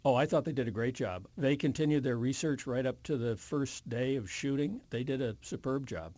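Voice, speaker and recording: Mellow older American man, measured and careful. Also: medium pitch. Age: 70s. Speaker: interview guest. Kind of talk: reflective interview conversation.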